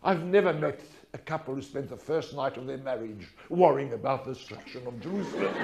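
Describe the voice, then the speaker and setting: A man's voice: high voice, a little hiss or hum. An older man telling stories as a lecture, in a dramatic, emphatic manner with rhetorical questions and repetition. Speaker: speaker telling a personal story. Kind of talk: storytelling lecture to an audience.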